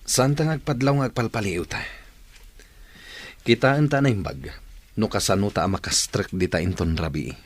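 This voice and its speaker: Actor as low-voiced scheming thief. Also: male voice, normal speed, long pauses, lightly acted. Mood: cautious, conspiring. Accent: Ilocano. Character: scheming, cautious male thief, the leader. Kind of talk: Ilocano radio drama acting.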